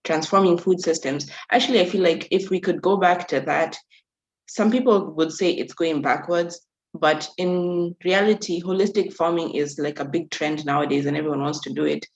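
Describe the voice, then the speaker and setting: A woman's voice: on the low side, normal speed, muffled recording. A woman from Kenya, a youth workshop facilitator, speaking on a panel discussion, laughing often and restarting her sentences.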